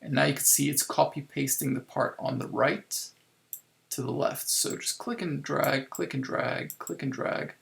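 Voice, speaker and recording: A man giving a casual software tutorial step by step, thinking aloud informally as he works, in a creaky, gravelly voice. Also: mid-range voice, measured pace. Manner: informal, improvised, thinking aloud while working. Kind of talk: casual screen-recorded tutorial, explaining step by step.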